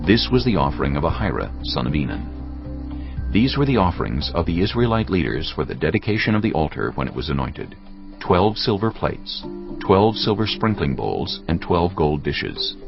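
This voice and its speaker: A man narrating a dramatised scripture audiobook solemnly and deliberately, phrase by phrase. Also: low-pitched, big pitch swings, vocal fry, highs cut off. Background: music.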